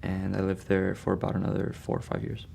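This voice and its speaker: Gravelly low young man, documentary student. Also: monotone, brisk, mellow. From Venezuela. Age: young adult. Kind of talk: documentary interview. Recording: faint music underneath.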